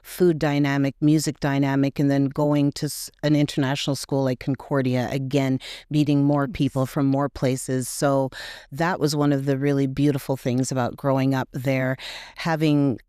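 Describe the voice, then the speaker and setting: Podcast guest: middle-aged woman from Montreal, smooth voice, dry close mic, reflective and unhurried. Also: a bass voice, flat delivery, dry recording. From Montreal, Canada. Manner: warm, reflective, many "um" pauses. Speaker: podcast guest, grief support group co-founder. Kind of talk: casual interview conversation.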